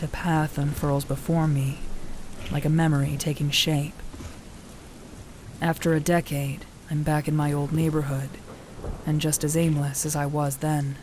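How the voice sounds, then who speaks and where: A woman narrating a fan audio drama in the first person, quiet, measured and brooding. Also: low-pitched, slight background noise. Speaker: narrator and lead character.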